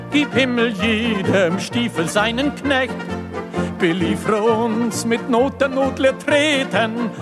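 A singer performing a satirical cabaret chanson in verse, with musical accompaniment. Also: mid-range voice. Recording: music behind the voice.

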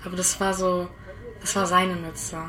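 A woman's voice: fairly low pitch, level tone, moderate pace, a crowd in the background. An eighteen-year-old woman giving a plain personal recollection. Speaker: bereaved sister giving testimony. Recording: distant mic with faint ambient noise.

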